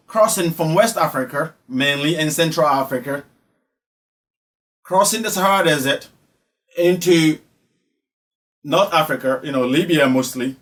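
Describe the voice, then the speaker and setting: A man's voice: on the high side, fairly bright tone. A man from Ghana with a Ghanaian accent giving spoken social commentary, emphatic and repeating phrases for effect. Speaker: online commentator.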